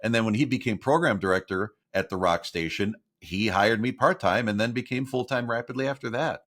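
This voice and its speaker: A man's voice: fairly low pitch, brisk, a bit bright. A middle-aged man, a voiceover artist, talking earnestly about his work as an interview guest. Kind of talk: casual interview talk.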